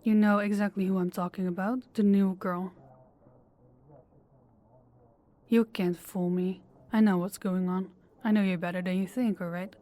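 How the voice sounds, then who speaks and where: A woman playing a composed, stern female crime boss in an audio drama, speaking deliberately and with authority. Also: medium pitch, steady tone, dark tone, natural voice. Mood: stern, irritated. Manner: authoritative, deliberate, clipped orders. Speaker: voice actor. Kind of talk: audio roleplay drama acting.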